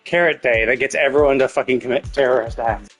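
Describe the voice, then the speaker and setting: A man's voice: medium pitch, fast talker, a bit bright. Man rambling casually over game music. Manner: rambling, thinking aloud, frequent swearing. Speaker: game livestreamer. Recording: game music playing behind the voice. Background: video game music.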